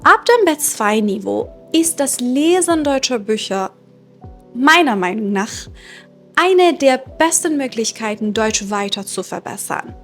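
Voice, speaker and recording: A young woman from Iran who teaches German, hosting her channel and presenting books in a clear, measured teaching style with occasional hesitations. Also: on the high side, lively intonation, soft background music. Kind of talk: slow, clear teaching narration for learners.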